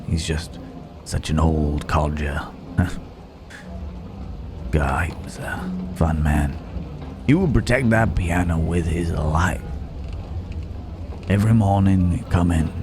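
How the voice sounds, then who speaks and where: A man playing a roguish, genteel Wild West stranger in an ASMR roleplay, wry and musing, speaking straight to the listener. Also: low voice. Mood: fond, reminiscing. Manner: unhurried, wry, musing, with drawn-out pauses.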